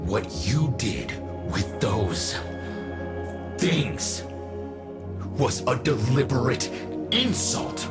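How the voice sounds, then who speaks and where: An actor playing a wounded, well-meaning father in a video game drama, earnest and halting in his delivery. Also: medium pitch, very animated, loud music behind the voice. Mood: hurt, accusing.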